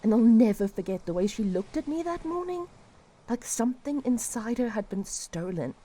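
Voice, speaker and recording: A woman acting a despairing refugee who confesses old guilt in a reflective, halting way, in an audio drama. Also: fairly high pitch, very animated, dark tone. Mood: sorrowful, guilty.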